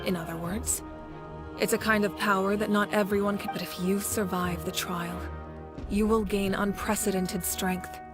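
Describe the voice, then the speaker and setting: A woman's voice: mid-range voice, steady tone, moderate pace, over loud music, natural voice. A woman playing a dignified, dutiful warrior leader in a video game, speaking in a composed, formal and decisive way. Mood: firm, solemn.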